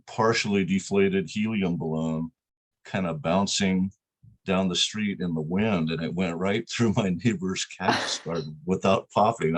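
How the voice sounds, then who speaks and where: A man with a husky voice casually telling a short personal anecdote. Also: low voice, low-quality recording.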